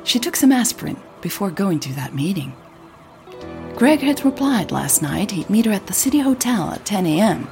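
A woman reading scripted audio drama narration in a husky voice. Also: medium pitch, big pitch swings, moderate pace, darkish tone, faint music behind.

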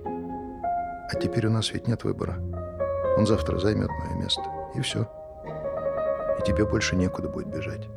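An actor playing a calm, insistent lover who quietly urges a murder, with loud music behind. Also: male voice, deep voice, normal speed, gravelly, pauses often. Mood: pressing. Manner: quiet, persuasive, pressing questions. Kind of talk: stage play performance.

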